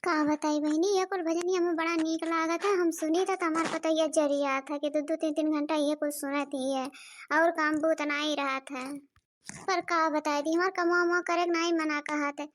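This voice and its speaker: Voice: high voice, flat delivery, normal speed, fairly bright tone, slight background noise. A cartoon performer voicing a bossy, complaining village mother-in-law with an Awadhi accent and a childlike voice, scolding and grumbling. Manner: scolding, grumbling, addresses viewers directly. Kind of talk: exaggerated cartoon comedy dialogue.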